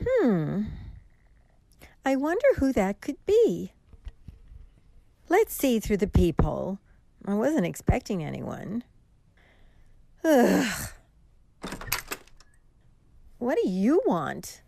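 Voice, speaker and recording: A woman's voice: mid-range voice, wildly swooping pitch, extremely slow, vocal fry, pauses often, warm tone, slight background noise, heavily acted. A woman playing a haughty, penny-pinching customer, curt and scolding, in a radio mystery drama. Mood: wary, irritated.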